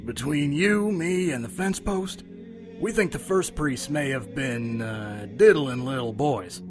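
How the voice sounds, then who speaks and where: A man's voice: mid-range voice, lively intonation, a little slow, music in the background, natural voice. A man playing an irritated, guarded police lieutenant in an audio drama. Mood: guarded, dismissive. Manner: irritated, dismissive.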